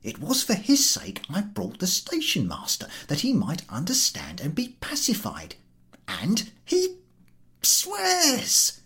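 A man's voice: high voice, huge pitch swings, slow pace, sharp tone, voice pushed up, heavily acted. A man voicing an over-zealous, easily flustered railway ticket collector in a storybook reading, officious and whining. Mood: bewildered. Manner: whining, officious, stammering when cornered.

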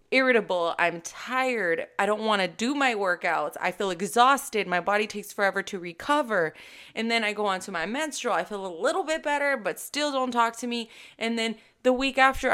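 A woman in her thirties, a fitness coach hosting her own podcast, talking to listeners in a casual, emphatic and animated way. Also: a little high. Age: 30s. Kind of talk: casual solo podcast talk.

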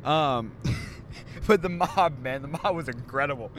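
A man's voice: a little high, unhurried, fairly bright tone. Male podcast host, rambling and jokey, handheld mic in a moving car, faint road hum. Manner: joking, rambling. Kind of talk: casual chat. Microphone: handheld mic passed around.

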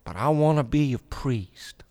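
John Boy, a man as a slow, swooping farm boy. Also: mid-range voice, animated, warm tone, natural voice. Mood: earnest, uneasy. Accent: rural American. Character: John Boy, earnest, folksy, anxious poor farm boy. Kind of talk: old-time radio drama parody.

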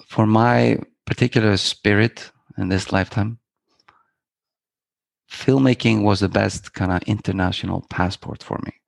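A man's voice: fairly low pitch, vocal fry, slightly rough, no echo. A middle-aged man from Iceland, a filmmaker guest talking in a reflective, meandering, poetic way with many fillers and restarts. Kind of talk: reflective interview talk.

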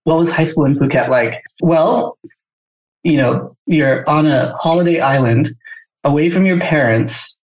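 A man's voice: fairly high pitch, unhurried, rough recording. A man from Singapore, a guest on a podcast, telling his story casually and hedging with "you know" and "sort of". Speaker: guest, agency founder.

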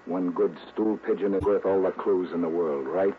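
A man's voice: deep voice, normal speed, close to an everyday voice. An actor playing a genial, shrewd American insurance man who was once an investigator, folksy and explanatory, in a 1960s radio drama. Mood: confiding. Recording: tinny, hissy old radio recording.

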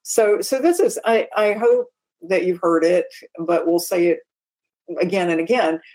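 Low-voiced woman explaining with emphasis. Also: measured pace, a little mellow, poor audio. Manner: explanatory, emphatic on safety points. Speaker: podcast co-host. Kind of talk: casual aviation chat.

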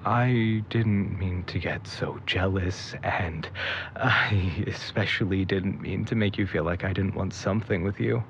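A man playing a gentle, tentative, caring young man in a naturalistic audio drama. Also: low-pitched, a little slow. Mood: apologetic, tender. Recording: dull recording with faint background noise.

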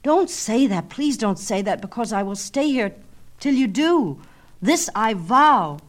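A woman's voice: on the high side, expressive, measured pace, pitched above the performer's own voice. A woman voicing a vain, scornful young man of Greek myth in an impulsive, emphatic way, as in a storybook reading. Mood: elated, pleading. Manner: emphatic, exclamatory.

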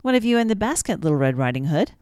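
Woman doing a gruff sly storybook wolf, deep voice, dry close sound. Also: big pitch swings, fast talker, a little mellow, no room sound. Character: gruff wicked male wolf, sly and hungry.

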